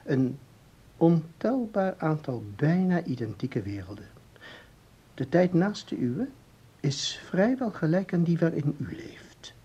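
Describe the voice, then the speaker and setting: Very husky, patient male researcher. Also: fairly high pitch, very animated, dark tone, muffled recording, full character voice. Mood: lecturing. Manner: formal, deliberate, hesitant, expository. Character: calm, explaining male researcher of time anomalies. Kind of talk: Dutch radio play acting.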